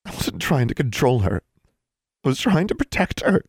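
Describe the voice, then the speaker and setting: A man's voice: medium pitch, sing-song, moderate pace, breathy edge, no room sound, studio quality, pitched above the performer's own voice. A man voicing a distressed, protective mother in a story, agitated and reproachful. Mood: defensive.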